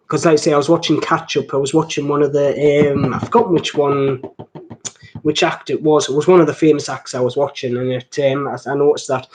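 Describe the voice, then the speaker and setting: A man with a Geordie accent hosts an informal live stream. His voice sits a little higher than most men's. He talks in a rambling, chatty way, jokey and happy to poke fun at himself as he responds to viewers. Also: darkish tone, rough recording. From north-east England. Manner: rambling, jokey, self-deprecating. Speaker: host of a watch channel live stream. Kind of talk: casual live-stream chat, answering viewer comments.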